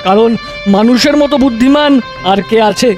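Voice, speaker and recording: A blunt ghostly royal attendant from a cartoon, acted by a man, with an extremely high pitch. He proclaims and explains frankly, with faint music underneath. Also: normal speed. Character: blunt male ghostly gandharva attendant serving a king. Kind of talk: cartoon voice acting.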